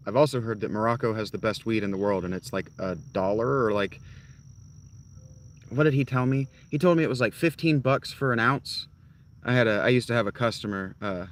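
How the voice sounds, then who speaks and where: A man chats loosely with his live viewers, wandering from one tangent to the next. His voice sits a bit low, and he swears freely as he talks. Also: fairly bright tone, a little hiss or hum. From North Carolina. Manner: rambling, profane, talks straight to his chat. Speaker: live streamer. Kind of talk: casual chat with live viewers.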